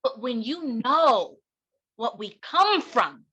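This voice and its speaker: A woman with an American accent speaks as a keynote educator. Her voice is high and forceful, and she drives her points home with deliberate pauses and emphatic repetition. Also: big pitch swings, husky. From United States. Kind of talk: impassioned keynote talk.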